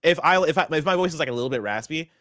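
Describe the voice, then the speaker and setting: Young male co-host, quick jokey banter, dry studio sound. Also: on the high side, dry recording. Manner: quick, joking, animated banter. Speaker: podcast co-host. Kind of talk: casual chat.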